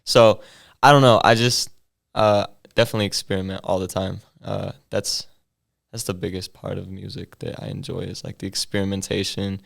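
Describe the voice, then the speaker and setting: Deep gravelly young man, laid-back. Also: little pitch movement, normal speed. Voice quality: deep bassy voice that he says sounds mumbled. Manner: laid-back slang-heavy storytelling with many fillers. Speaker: hip hop artist guest. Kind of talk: casual interview chat.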